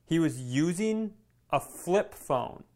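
Man, slightly high voice, podcast co-host, casual storyteller, animated, self-deprecating, sweary. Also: slow pace. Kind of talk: casual anecdotal chat.

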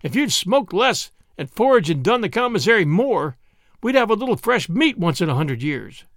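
A man's voice: high-pitched, sing-song, moderate pace, a bit bright, dry recording, clean studio sound, pitched above the performer's own voice, full character voice. A man voicing a young soldier who scolds and jeers at the camp cook. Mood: jeering. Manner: scolding, jeering.

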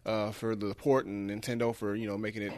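A man's voice: fairly low pitch, little pitch movement, brisk, darkish tone. A man co-hosting a podcast, talking casually and informally about video games with slang and easy swearing. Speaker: podcast co-host. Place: podcast studio.

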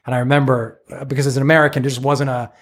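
A man's voice: medium pitch, little pitch movement, rapid-fire. A man in his fifties hosting a podcast, asking curious, probing questions and rephrasing them as he goes. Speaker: podcast host. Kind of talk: casual interview questions.

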